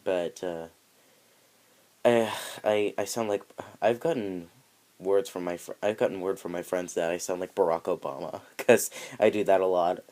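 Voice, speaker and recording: Low-voiced young man rambling through a game review. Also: steady tone, moderate pace, a little mellow. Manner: rambling and hesitant, self-correcting. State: slightly nasal from allergies. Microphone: handheld camera mic.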